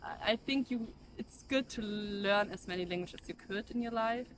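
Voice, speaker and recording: A woman's voice: mid-range voice, normal speed. Young German-accented woman, street noise. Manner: chatty, leans on "like". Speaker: passerby interviewee. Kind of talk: casual street interview. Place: outdoors on a street. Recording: outdoor street noise behind.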